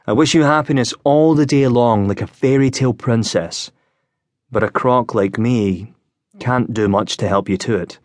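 A man narrating an audiobook as a frail, gloomy young wounded officer, speaking with gentle, wistful courtesy. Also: mid-range voice, moderate pace, no echo, natural voice. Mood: courteous, wry.

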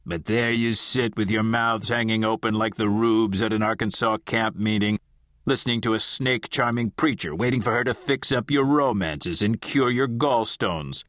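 A man voicing a cantankerous, gravelly old male spirit who scoffs belligerently, in an audiobook. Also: on the low side, moderate pace, fairly bright tone, dry recording, studio quality, lightly acted. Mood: scornful. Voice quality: gravelly, croaking. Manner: belligerent, scornful. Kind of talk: audiobook character voice.

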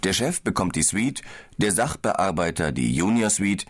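Low male, calm and explanatory, scripted audiobook dialogue. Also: normal speed, husky. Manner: calm, explaining, slightly tired. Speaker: business traveller in a language-course dialogue.

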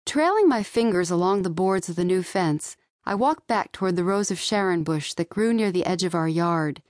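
Low-voiced woman narrating a novel. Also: expressive, moderate pace, a little mellow, no echo, clean studio sound. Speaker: author narrating own audiobook. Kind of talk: scripted first-person young-adult novel narration. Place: dry studio.

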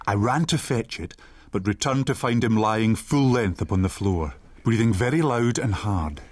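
An actor playing a man who recounts his boyhood, narrating a dramatised audiobook in a sombre, measured way. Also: lowish voice, no room sound, close to an everyday voice. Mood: measured, tense.

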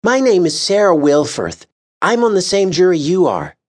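A man's voice: high voice, wildly swooping pitch, normal speed, pitched above the performer's own voice. A man doing a kind young woman. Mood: friendly. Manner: warm, polite greeting.

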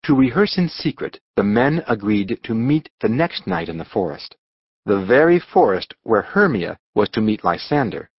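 A man narrating a storybook audiobook in a measured, expressive storytelling manner. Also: lowish voice, breathy edge, no echo, highs cut off.

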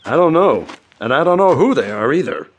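An actor playing a wry, needling, excitable frontier doctor in a western audio drama. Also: male voice, a little high, brisk, husky, dull audio. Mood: urgent. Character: excitable nosy male frontier doctor, dry-witted.

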